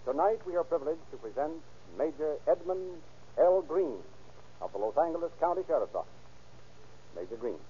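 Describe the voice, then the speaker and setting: A man announcing in scripted 1930s radio style, emphatic, deliberate and declamatory. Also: fairly high pitch, lively intonation, dark tone, a little hiss or hum. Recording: tinny old radio recording.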